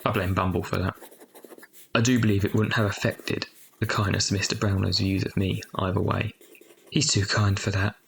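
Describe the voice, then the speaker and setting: A man playing a grown man recounting his youth as an audio drama narrator, measured and reflective. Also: fairly low pitch, level tone, creaky, a little hiss or hum. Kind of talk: dramatised first-person narration. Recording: faint music behind.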